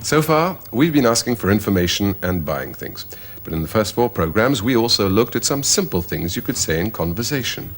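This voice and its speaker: A man's voice: fairly low pitch, big pitch swings, normal speed, a little hiss or hum. A man presenting a language course, explaining phrases and modelling them for learners. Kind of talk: scripted teaching narration.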